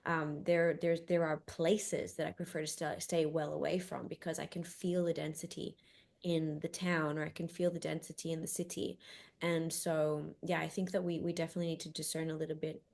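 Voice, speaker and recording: A woman from Australia co-hosting a reflective chat, talking slowly with many pauses and restarts. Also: low voice, level tone, creaky, darkish tone. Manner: hesitant, many ums and restarts. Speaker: podcast co-host.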